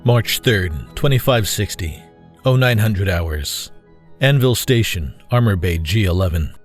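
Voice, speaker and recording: A man's voice: lowish voice, no echo. A man narrating a scripted audio drama in a formal storytelling style. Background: music.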